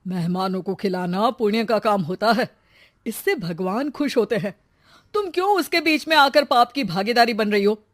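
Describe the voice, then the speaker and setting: A man voicing a stubborn, bossy and pious village husband who is quick to scold, in a cartoon story. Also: sits high, a bit bright. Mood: stern.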